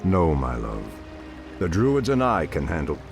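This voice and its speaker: A man playing a grave, wise ancient elven druid leader with a measured, formal delivery in a video game. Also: low-pitched, wildly swooping pitch, soft background music, exaggerated voice. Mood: grave concern. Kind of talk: dramatic video game cutscene acting.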